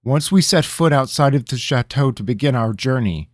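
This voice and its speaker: A man's voice: medium pitch, expressive, normal speed, pitched above the performer's own voice, close to an everyday voice. A nervous young apprentice mage answers a king with anxious deference, as a male narrator voices him. The voice is a little raised, and the close, dry recording has no echo. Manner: respectful, anxious pleading.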